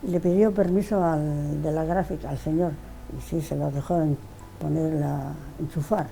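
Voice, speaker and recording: A woman's voice: sits low, fairly even intonation, normal speed, creaky, warm tone, slight background noise, rough recording. An older woman being interviewed, telling old memories in a rambling way, often repeating words and restarting. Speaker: interviewee, widow of the artist. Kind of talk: casual reminiscing in an interview.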